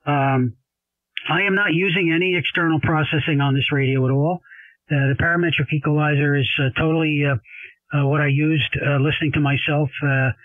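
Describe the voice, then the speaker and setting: A man's voice: fairly high pitch, steady tone, brisk, dry recording. A man chatting casually over ham radio in a rambling, folksy, enthusiastic way, as an amateur operator. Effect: transmitted over ham radio. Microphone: desk radio microphone. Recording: narrow phone-like shortwave radio sound.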